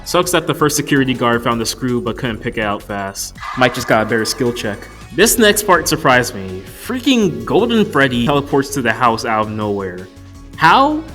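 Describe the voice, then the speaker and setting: A man's voice: mid-range voice, a bit bright. A man narrating a casual, joke-filled video review in a wry, profane, mock-exasperated way. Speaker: movie reviewer.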